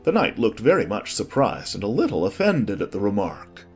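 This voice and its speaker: A man's voice: on the low side, wildly swooping pitch, moderate pace, faint music behind. A man reading scripted storybook narration as the narrator of an audiobook.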